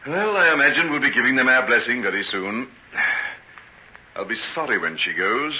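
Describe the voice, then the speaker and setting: An actor playing an ardent, tormented, wryly teasing doctor in an old-time radio drama, with theatrical, genteel diction. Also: male voice, mid-range voice, animated, normal speed, pushed and bright. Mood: brisk, wry. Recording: dull, faintly noisy vintage radio recording.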